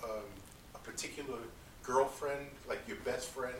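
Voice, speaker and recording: A man interviewing an older woman, asking gentle questions with pauses. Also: medium pitch, fairly even intonation, echoey room, far from the mic, rough recording. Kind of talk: oral history interview.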